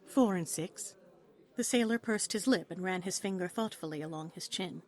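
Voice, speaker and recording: A woman's voice: lowish voice, brisk. A woman reading scripted story narration for an audio play in a dramatic storytelling style.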